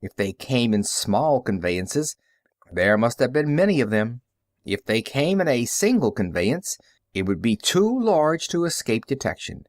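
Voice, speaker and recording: Young male reporter read by a man: earnest, skeptical, emphatic, animated audiobook dialogue. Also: medium pitch, measured pace, dry recording, clean studio sound. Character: young newspaperman, earnest, skeptical, matter-of-fact.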